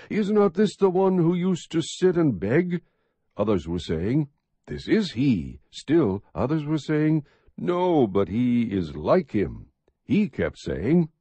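A man narrating scripture as an audiobook, reading in a measured, expressive, husky voice. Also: medium pitch, dry recording.

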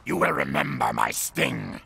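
Game creature: boastful lizard warrior, heavy fry, wildly varied pitch, aggressive. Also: male voice, huge pitch swings, measured pace, in a large hall, background noise, heavily acted. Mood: defiant. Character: proud male reptilian raptor warrior creature. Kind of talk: video game voice acting.

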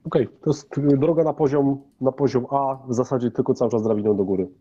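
A man's voice: medium pitch, fairly even intonation, fast talker, warm tone, slightly rough, muffled recording. A man acting as game master, explaining things to players in a tabletop game.

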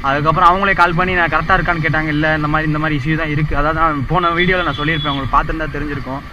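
A man's voice: fairly high pitch, fairly even intonation, racing, highs cut off. A young man, chatting casually to his viewers while riding a motorbike through city streets, rambling and repeating himself as he goes. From India. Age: young adult. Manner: chatty, addresses viewers constantly, rambling repetitions. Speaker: motovlogger. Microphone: helmet or chest action camera. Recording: traffic and wind noise.

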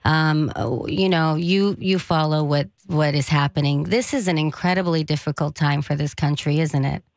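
A woman's voice: low voice, fairly even intonation, moderate pace, no room sound. A woman hosting a talk radio interview in a small studio, speaking conversationally with loose, searching phrasing. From United States. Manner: conversational with many fillers and restarts. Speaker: radio host. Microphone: studio mic with headphones.

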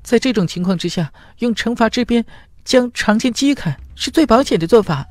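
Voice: medium pitch, animated, normal speed, no room sound, pitched above the performer's own voice, natural voice. An audiobook narrator voicing a cautious, loyal fire spirit who speaks in urgent, careful warnings. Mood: worried warning.

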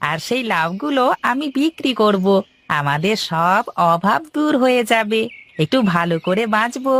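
A woman's voice: mid-range voice, lively intonation, normal speed, fairly bright tone. A woman voicing a poor, naive young male farmer in a cartoon fairytale, earnest and dreamy. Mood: dreamy, hopeful. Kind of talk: animated moral fairytale dialogue. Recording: faint music behind the voice.

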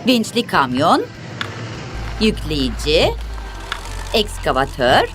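A woman's voice: low voice, huge pitch swings, slow pace. A woman narrating a children's cartoon, speaking expressively in simple, instructive phrases as if to young viewers and characters. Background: music.

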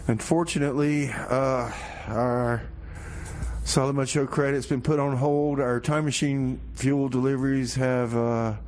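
A man hosting a community radio show with casual comedic patter, speaking in a halting, folksy, mock-earnest way. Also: mid-range voice, fairly even intonation, a little mellow, a little hiss or hum.

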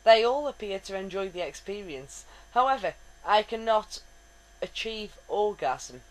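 A woman's voice: mid-range voice, expressive, unhurried, lightly acted, rough recording. A woman voicing a formal, stiff male android officer who speaks precisely, without contractions.

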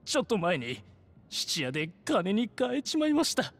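A man's voice: sits high, huge pitch swings, normal speed, full character voice. A male actor playing a shifty, cowardly pickpocket in a video game, theatrical, swinging between pompous boasting and meekness. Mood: sheepish. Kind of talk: video game cutscene acting.

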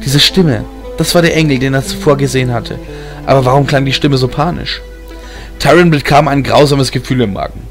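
A man with a mid-pitched voice narrates a fantasy audiobook. His delivery is scripted and dramatic, and music plays clearly behind him. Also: brisk. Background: music bed.

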